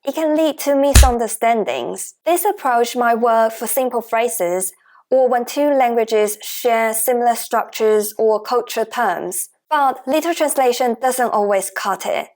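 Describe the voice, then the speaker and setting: A woman's voice: fairly high pitch, darkish tone. A woman who speaks Chinese natively, hosting her own channel, gives an encouraging, carefully paced scripted lesson in English on learning Mandarin. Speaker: Mandarin teacher, channel host.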